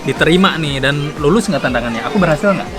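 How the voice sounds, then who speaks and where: A man's voice: fairly high pitch, fast talker, crowd noise. A young man from Indonesia hosting a travel show, tasting food and chatting casually with lots of laughter. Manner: chatty, laughs often, relaxed slangy talk. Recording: loud music under the voice.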